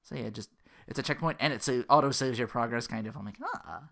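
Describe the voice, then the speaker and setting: A man's voice: mid-range voice, fast talker, gravelly. A man hosting a podcast, giving casual, rambling gaming commentary with little acted-out asides. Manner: rambling, animated asides, acting out little quotes. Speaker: podcast host.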